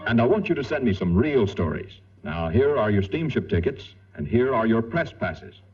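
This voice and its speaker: Husky, animated 1940s cartoon newspaperman. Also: mid-range voice, normal speed. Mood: brisk, exasperated. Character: brisk newspaper man in a vintage cartoon. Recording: dull old film soundtrack, faint music.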